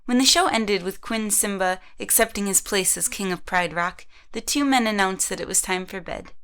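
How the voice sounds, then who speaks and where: A woman's voice: mid-range voice, normal speed, fairly bright tone, smooth. Woman narrating an audio drama story.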